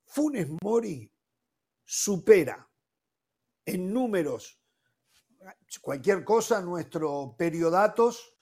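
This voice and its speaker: A man hosting a sports talk panel, animated and leaning on "eh" fillers as he leads a heated debate. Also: sits high, drawn-out words, long pauses, breathy edge. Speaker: sports talk show host.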